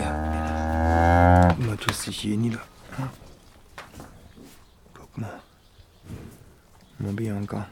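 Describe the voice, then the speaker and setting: An actor playing a worn-out, tender village farmer with a Berlin-Brandenburg accent, speaking haltingly and colloquially in a radio play. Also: male voice, fairly low pitch, noisy background. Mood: gentle, coaxing. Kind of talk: naturalistic radio play acting.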